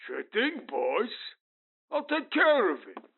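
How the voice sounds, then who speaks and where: A high, creaky, dim henchman, tinny. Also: wildly swooping pitch, heavily acted. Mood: sheepish, eager. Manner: halting, stammering, slow-witted delivery. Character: dim, thuggish male henchman reporting to his boss. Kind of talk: comedic audio drama acting. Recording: thin, phone-like sound.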